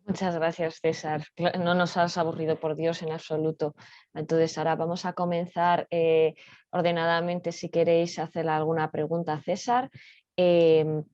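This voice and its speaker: A woman's voice: low voice, little pitch movement, moderate pace, darkish tone, no room sound. A woman moderating an online session informally, hesitant and feeling for her words, on a lo-fi video call. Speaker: host, moderator.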